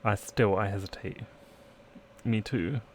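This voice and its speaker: A man's voice: on the low side, unhurried, slight background noise. A man live-streaming a let's play, reading game text aloud and chatting in playful asides. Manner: playful asides, trying out accents.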